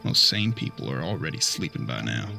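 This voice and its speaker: An actor playing a young, inquisitive apprentice detective in a western audio drama, with a folksy, questioning manner. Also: male voice, a bass voice, sing-song, fairly quick, creaky, music in the background, heavily acted. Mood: puzzled, observant.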